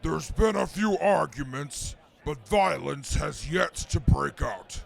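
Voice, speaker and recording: A man's voice: on the high side, animated, takes it slowly, creaky, full character voice. A man playing a boastful, hot-tempered super mutant reporter who talks stiffly and formally in a radio drama. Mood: tense, formal. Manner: stiff formal speech breaking into threatening outbursts. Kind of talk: post-apocalyptic radio comedy drama acting.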